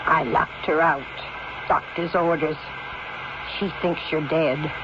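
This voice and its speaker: An actress playing a loyal, plainspoken older nurse-housekeeper with an earnest American voice in a 1970s radio drama. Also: female voice, low-pitched, steady tone, takes it slowly. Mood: anxious, reassuring. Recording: muffled, very noisy 1970s radio recording.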